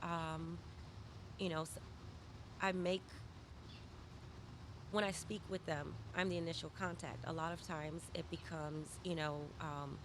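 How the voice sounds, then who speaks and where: A woman's voice: deep voice, flat delivery, a little slow, pauses often. A middle-aged woman who grew up in Saint Louis, giving conversational answers as an interview guest. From born in Malawi, grew up in Saint Louis, USA. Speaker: victim advocate, interview guest. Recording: clear background noise.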